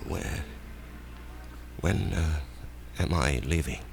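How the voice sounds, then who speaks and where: Deep-voiced, hesitant American salesman. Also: vocal fry, slight background noise, exaggerated voice. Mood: uneasy, subdued. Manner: hesitant, halting. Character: quiet, self-doubting married salesman, mild everyman. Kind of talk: radio drama acting.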